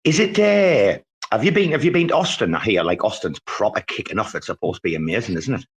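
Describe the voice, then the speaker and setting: A man's voice: on the low side, animated, fast. A man with a British accent hosting a casual chat in an enthusiastic, chatty way full of informal slang. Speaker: show host.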